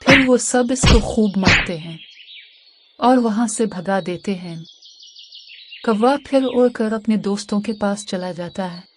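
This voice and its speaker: A woman's voice: medium pitch, a little slow. Woman narrating a children's fable, faint noise. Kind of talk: scripted children's story narration.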